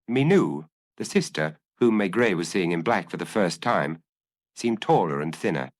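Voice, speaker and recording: A man narrating a detective story as an audiobook, in a measured, literary storytelling manner. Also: on the low side, no room sound.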